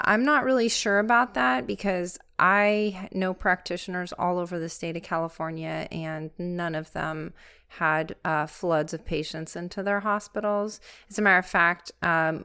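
A woman with a smooth, clear voice sitting as a guest in an interview. She is a nurse and lays out her experiences in a steady, matter-of-fact way. Her voice sits a little on the low side. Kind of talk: interview answers.

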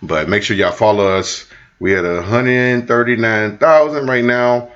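A man's voice: medium pitch, unhurried, lo-fi sound. A man in his forties co-hosting a relationship podcast, chatting casually and joking around. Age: 40s. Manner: relaxed, joking, sings snatches of songs. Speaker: podcast co-host. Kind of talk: casual chat.

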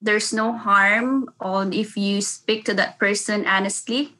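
A woman with a Filipino accent talks in an interview about her work as a nurse. Her tone is bright and clear, and she speaks earnestly and often restarts her sentences. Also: medium pitch, fairly even intonation, slow pace, poor audio. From Philippines. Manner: earnest, with frequent restarts and laughs. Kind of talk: casual interview.

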